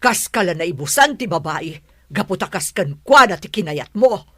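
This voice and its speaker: A woman playing a stern, scornful middle-aged mother in an audio drama, sharp and interrogating. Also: on the low side, animated, normal speed, no room sound. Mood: indignant.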